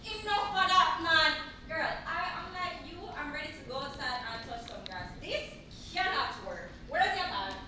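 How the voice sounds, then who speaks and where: An actress on a live stage playing a loud, sassy young woman who scolds her friend. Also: high-pitched, animated, moderate pace, hardly any pauses. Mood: scolding. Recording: echoey hall picked up from far off, noisy.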